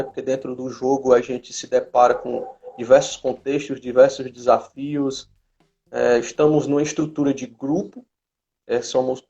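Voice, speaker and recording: A man's voice: medium pitch, creaky, darkish tone. A man hosting a casual chat, speaking hesitantly as he pieces thoughts together, on lo-fi live-stream audio.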